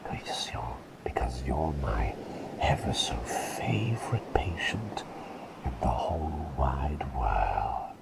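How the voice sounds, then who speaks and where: A man voicing an eccentric, kindly plague doctor in an ASMR roleplay, whispering gently and soothingly with quaint, effusive repeated phrases. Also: extremely low pitch, sing-song, takes it slowly, very noisy, exaggerated voice. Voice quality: breathy whisper with creaky edge.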